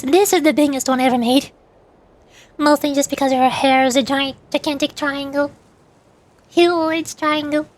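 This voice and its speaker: A woman's voice: sits high, no room sound. A young woman streamer chatting casually with her viewers, rambling as she shows old screenshots. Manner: chatty, rambling, reads chat aloud.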